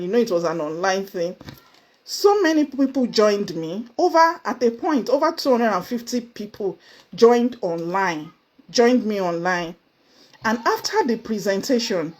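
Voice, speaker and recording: A woman with a Nigerian accent giving a motivational talk to camera, earnest and emphatic, with sudden high exclamations. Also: darkish tone. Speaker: motivational speaker. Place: indoors, seated before camera.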